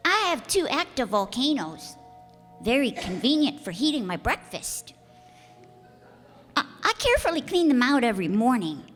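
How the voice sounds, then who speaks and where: A woman's voice: high-pitched, very animated, normal speed, a bit bright. A woman playing an earnest, innocent, wistful young boy prince in a theatrical radio play. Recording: echoey hall with music bed.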